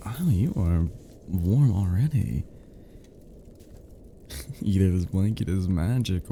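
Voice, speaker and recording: A man playing a cozy, teasing young male friend who is sweetly smitten, in an intimate ASMR roleplay, murmuring softly and hesitantly with chuckles. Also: sits low, creaky, a little hiss or hum, heavily acted. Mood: playful, welcoming.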